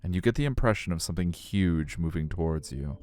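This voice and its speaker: A man's voice: low-pitched, lively intonation, brisk, darkish tone. A middle-aged man live streaming a visual novel, switching between casual chat and narration. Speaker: game streamer.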